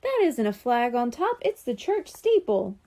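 A woman voicing an eager, determined young schoolboy in a children's storybook, earnest and excited. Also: a little high, unhurried.

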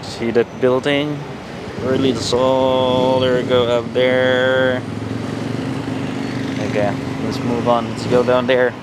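A man with a Filipino accent narrates a night walking tour outdoors, talking haltingly with many fillers into a handheld camera mic. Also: medium pitch, little pitch movement. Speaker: vlogger giving a walking tour. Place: busy city street at night, outdoors. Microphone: handheld camera mic while walking. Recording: loud street traffic noise. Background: loud traffic and street noise.

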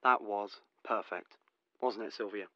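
Male recording director, audio drama, soothing, encouraging, a bit patronising, lowish voice, dry sound. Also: unhurried, bright, forceful tone, dry recording, dull audio. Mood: placating.

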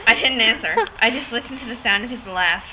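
Young woman, amateur dramatic reader, slightly high pitch, giggly delivery, noisy tinny outdoor camcorder audio. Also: fast talker. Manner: theatrical, often breaking into giggles. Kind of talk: dramatic read-aloud of a novel, giggly and informal. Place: open-sided park picnic shelter outdoors. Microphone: camcorder across a picnic table.

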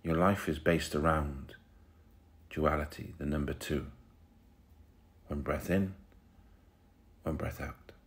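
A man leading a guided meditation in a soothing, unhurried way, pausing between short phrases. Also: rumbling low.

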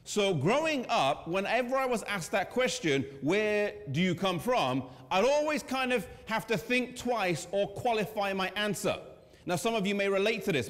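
A man in his thirties with an English accent, preaching in a conversational way with pauses and fillers. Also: high voice. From England. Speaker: pastor. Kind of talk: sermon presentation. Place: church hall with a seated audience. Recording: long church hall reverb.

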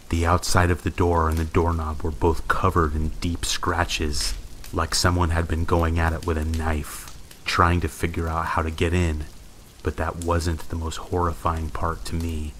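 Very deep-voiced man narrating horror. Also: poor audio. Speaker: narrator of scary stories. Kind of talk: scripted horror story narration. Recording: steady rain noise behind. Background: rain and thunderstorm ambience.

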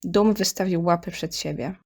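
A woman's voice: fairly low pitch, moderate pace, darkish tone. A woman reading scripted story narration in Polish as the narrator of an audio drama.

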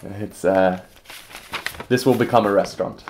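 A man's voice: fairly low pitch, fairly even intonation, a little slow, noisy background. A man in his twenties from England with an English accent, talking casually and a little hesitantly in an interview. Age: 20s. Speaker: aspiring restaurateur and home cook.

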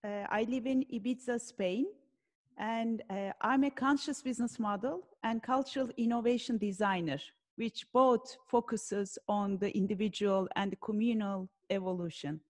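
A woman from Turkey introducing herself on a video call, measured and enthusiastic. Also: mid-range voice, a little mellow. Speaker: business designer, moderator.

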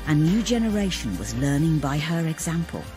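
A narrator reads a scripted documentary-style piece. The voice is mid-pitched and moves widely in tune, with music clearly playing behind. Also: sing-song, normal speed, low-quality recording.

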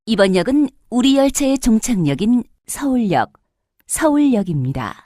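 Woman doing subway announcements, higher voice w lots of melody, formal n very clearly spoken, dry sound. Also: sing-song, normal speed, warm tone, no echo, soft background music. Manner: formal, polite, carefully enunciated. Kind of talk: scripted public transit announcement.

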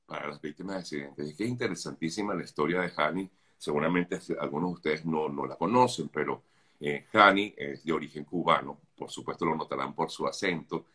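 A man's voice: very deep voice, brisk. A man hosting a morning radio interview, chatty and friendly, with lots of fillers. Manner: warm, chatty, full of fillers. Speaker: radio host.

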